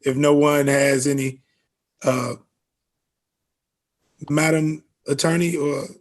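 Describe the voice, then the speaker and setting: A man chairing a formal meeting over a video call, speaking in a halting, procedural way. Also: fairly high pitch, fairly even intonation. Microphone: video call microphone.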